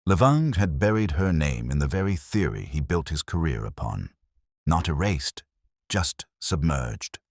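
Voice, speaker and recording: A man with an extremely deep voice narrates a literary mystery in the first person. His delivery is brooding and deliberate, with a lively rise and fall across phrases. The sound is dry and close, with no room around it. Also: dry recording.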